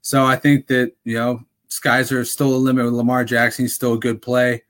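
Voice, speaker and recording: Casting: young male podcast host, casual rambling sports talk, slightly raspy, mid-pitched. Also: level tone, normal speed, fairly bright tone. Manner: rambling, full of fillers and restarts. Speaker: fantasy football podcast host.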